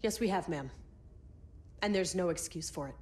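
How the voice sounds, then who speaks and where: An actress playing a disciplined, earnest military commander in a video game, speaking with crisp restraint. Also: female voice, fairly low pitch, normal speed, a little mellow, a little hiss or hum, natural voice. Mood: solemn, earnest. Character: disciplined, earnest female commander, defector soldier. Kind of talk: cinematic video game acting.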